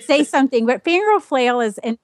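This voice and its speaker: A woman's voice: sits high, expressive, brisk, no echo, clean studio sound. A woman podcaster talking casually as a guest on a show. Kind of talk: casual spoken message and chat.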